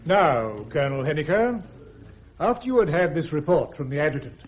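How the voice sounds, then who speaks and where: An actor playing a courteous, brisk British army major prosecuting a case in a radio drama, polite and precise. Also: male voice, a little high, very animated. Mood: formal, probing. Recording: dull lo-fi radio drama recording.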